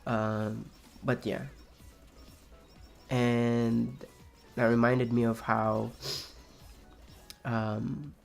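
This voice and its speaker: Raspy gravelly young man, rambling. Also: medium pitch, flat delivery, drawn-out words, long pauses, faint music behind. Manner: rambling, soft-spoken, many fillers and restarts. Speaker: streamer. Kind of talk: casual chat while playing a game.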